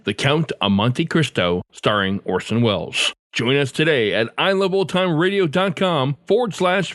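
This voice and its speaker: A dry, close studio sound carries a man hosting a podcast. His mid-pitched voice moves with lively intonation through a scripted introduction. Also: no echo. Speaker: podcast host.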